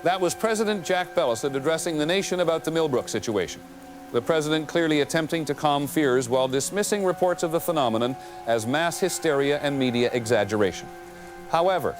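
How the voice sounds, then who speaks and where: An actor playing a measured, serious news anchor, reading a formal scripted broadcast in an audio drama. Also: male voice, on the high side, close to an everyday voice. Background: music.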